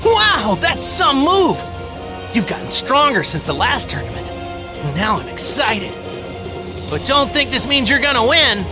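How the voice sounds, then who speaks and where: A woman's voice: high-pitched, sing-song, a little slow, over music. A woman playing a cheerful, guileless young male martial arts hero in an anime game, boyish, upbeat and plain-spoken. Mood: amazed, excited. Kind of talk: anime video game voice acting.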